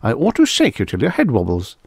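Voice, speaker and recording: A man's voice: medium pitch, wildly swooping pitch, fairly quick, creaky, slightly rough, no echo, studio quality, pitched above the performer's own voice, exaggerated voice. A man voicing an anxious, frightened mother who urgently calls and scolds her small boy in an audiobook story. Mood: scolding. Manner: urgent, exclaiming.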